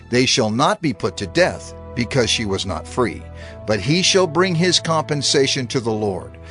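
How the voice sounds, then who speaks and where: A man's voice: medium pitch, close to an everyday voice. A man voicing God laying down the law. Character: God giving laws, measured and commanding. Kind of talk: dramatized scripture reading.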